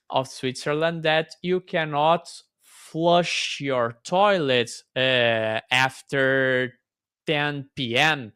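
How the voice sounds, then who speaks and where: A man hosts a relaxed conversation, chatty and enthusiastic as he asks questions. His voice is smooth and clear, recorded dry and close. He speaks at an unhurried pace. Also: mid-range voice, no echo. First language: Portuguese. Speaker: host. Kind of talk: casual interview chat.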